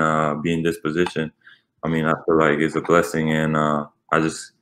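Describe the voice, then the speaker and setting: A man's voice: rumbling low, fairly bright tone, poor audio. A young man from Switzerland, a native Italian speaker and college basketball player, answering interview questions with many fillers. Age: young adult.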